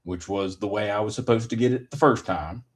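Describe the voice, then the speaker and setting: A man giving a rambling personal opinion monologue in a deliberate, halting manner with wordy, formal phrasing. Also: lowish voice, husky.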